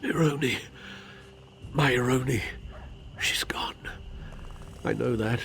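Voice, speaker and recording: An actor playing a wounded, grieving husband in a video game, pleading urgently in a gravelly, pained voice. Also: male voice, mid-range voice, takes it slowly, a bit bright, a little hiss or hum. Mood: anguished, urgent.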